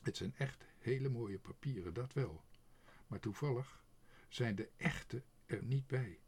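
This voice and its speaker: Earnest young storybook girl, read by a man, hesitant, gentle, husky voice. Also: mid-range voice, a little slow, voice pushed up. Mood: hesitant explaining. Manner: hesitant, apologetic, explaining.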